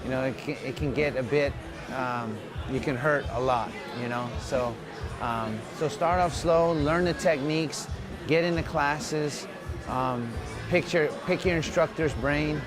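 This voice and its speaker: A man's voice: a little high, a little slow, over music, crowd noise. A man whose first language is Tagalog, a fighter answering interview questions in a loose, upbeat way, full of "you know" and "man.". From Philippines. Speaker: mixed martial arts fighter, interviewee. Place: gym.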